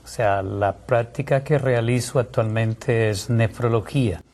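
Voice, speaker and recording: A man's voice: mid-range voice, a little slow, a little mellow. Colombian man, a doctor being interviewed. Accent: Colombian Spanish, Santander. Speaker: guest doctor, nephrologist. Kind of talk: explanatory interview talk.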